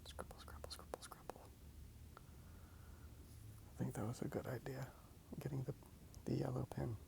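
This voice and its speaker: A man speaking in a soft, hushed ASMR style, musing slowly and repeating his phrases as he narrates his drawing. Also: mid-range voice, steady tone, gravelly. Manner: hushed, unhurried musing, repeats phrases, asks listener questions. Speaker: host of an ASMR drawing video. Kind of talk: soft-spoken ASMR rambling while drawing. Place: outdoors in a park.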